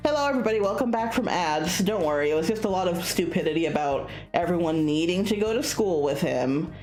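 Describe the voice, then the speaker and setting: Low-pitched, lively woman streamer in a roomy space. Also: fast. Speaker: streamer reading a visual novel aloud. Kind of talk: casual reaction and read-aloud commentary. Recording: roomy echo with music behind. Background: music.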